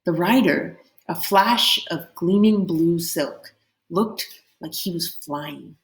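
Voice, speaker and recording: Female read-aloud host, slightly low voice, expressive and dramatic narration of children's fiction, roomy sound. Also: takes it slowly, creaky. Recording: roomy, echoing indoor sound.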